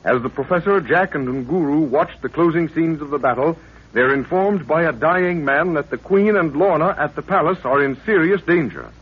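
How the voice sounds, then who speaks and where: Husky man narrating an old radio serial. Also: a little high, normal speed. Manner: portentous, declamatory. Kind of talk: dramatic 1930s scripted radio narration. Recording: thin, phone-like 1930s radio recording.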